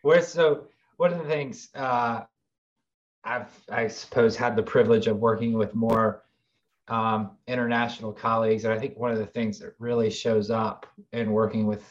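A man's voice: fairly low pitch, steady tone, a little slow, lo-fi sound. An American man hosting a podcast, asking questions in a loose, rambling way. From United States. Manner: rambling, many fillers and restarts. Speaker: podcast host. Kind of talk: casual interview chat.

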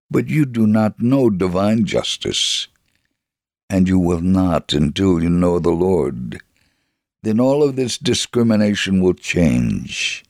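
An actor playing a devout, steadfast Israeli father in an earnest, deliberate, theatrical dramatic reading of a memoir. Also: deep voice, darkish tone, dry recording, studio quality, full character voice. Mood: firm, admonishing. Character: devout, steadfast Israeli father telling his life story.

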